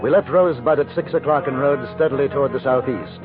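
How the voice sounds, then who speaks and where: An actor playing a composed, wry English former cavalry captain, measured and articulate, in a 1950s radio drama with a British accent. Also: male voice, mid-range voice, narrow, tinny audio, natural voice. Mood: reflective, watchful. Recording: band-limited vintage radio recording with music.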